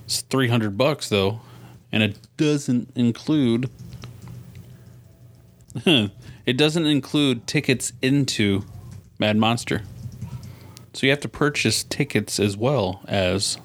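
A man's voice: medium pitch, slow pace, long pauses. A man co-hosting a podcast, chatting casually and informally with plenty of easy slang. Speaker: podcast co-host. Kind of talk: casual chat.

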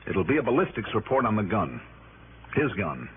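A man's voice: fairly low pitch, sing-song, fairly quick. An actor playing an indignant, insistent male private investigator with a wry, slangy manner in a 1960s radio drama. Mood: urgent, pleading. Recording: tinny, noisy 1960s radio recording.

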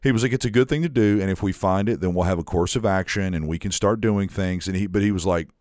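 Deep-voiced man telling a rambling story. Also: fast, darkish tone, no room sound, studio quality. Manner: rambling storyteller, acts out others' lines, swears casually. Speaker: podcast co-host. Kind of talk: casual podcast chat.

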